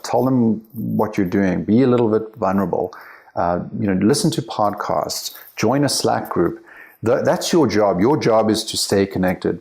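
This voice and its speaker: A man's voice: fairly low pitch, gravelly, darkish tone. A South African man being interviewed as a guest, talking candidly and emphatically with easy laughs and repeated words as he thinks. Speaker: guest, company CEO and author. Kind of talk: casual interview chat.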